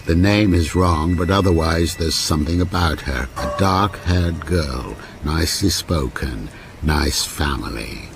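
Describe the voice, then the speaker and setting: An actor playing a lonely, obsessive older man in a radio ghost story, with a brooding, deliberate delivery that repeats broken phrases. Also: rumbling low, gravelly, noisy background, exaggerated voice. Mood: haunted, obsessive. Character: lonely, obsessive, sinister old railwayman ghost narrating his story. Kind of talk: radio drama monologue.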